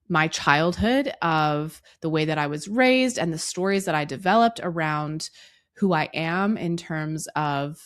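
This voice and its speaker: A woman in her thirties hosting a solo podcast, her voice hoarse and raspy from a cough, talking earnestly and reflectively to the listener. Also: lowish voice. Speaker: podcast host.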